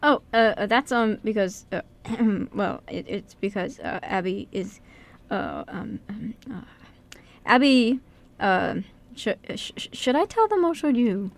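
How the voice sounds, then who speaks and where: A woman's voice: medium pitch, animated, measured pace. A woman acting a nervous, good-natured young man in an amateur radio play, stammering and hesitant as he puts himself down. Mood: flustered, apologetic. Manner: heavily stammering, hesitant, self-deprecating.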